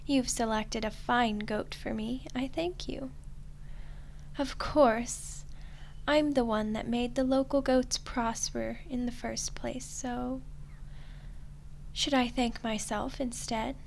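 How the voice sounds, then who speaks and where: A woman playing a smug, teasing archdemon in a roleplay ASMR style, soft and condescending, with an unhurried delivery. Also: on the high side, fairly even intonation, gravelly, a little hiss or hum. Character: smug, teasing female archdemon toying with a human ruler.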